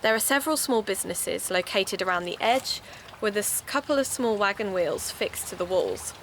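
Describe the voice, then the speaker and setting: A woman's voice: medium pitch, lively intonation, moderate pace, a bit bright, slight background noise. A woman playing a keen amateur investigator who hosts a podcast, speaking with a British accent in a conversational, confiding way. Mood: curious. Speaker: podcast host and narrator. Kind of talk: audio drama acted as first-person podcast narration.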